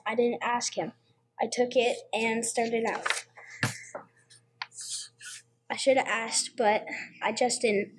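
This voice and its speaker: A woman playing a humble, anxious male suspect in an amateur radio play, halting and apologetic in plain folksy speech. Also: fairly high pitch, slight background noise.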